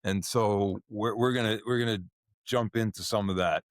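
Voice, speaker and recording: A man's voice: fairly low pitch, fairly even intonation, normal speed, no echo, clean studio sound. A man hosting a podcast interview, talking casually and chattily, often repeating and restarting words. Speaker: podcast host.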